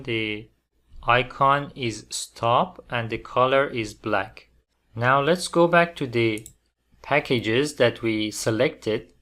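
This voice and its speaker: A man presenting a programming tutorial step by step, phrasing things deliberately in short, halting fragments. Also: mid-range voice, a bit bright. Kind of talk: step-by-step software tutorial narration.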